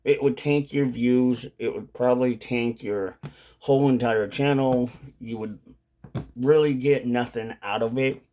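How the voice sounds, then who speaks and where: A man's voice: medium pitch, steady tone, measured pace, dark tone. A man talking casually and unscripted as a video creator, rambling and often repeating or rephrasing his points. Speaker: video creator on a dipping tobacco channel. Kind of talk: casual unscripted vlog monologue.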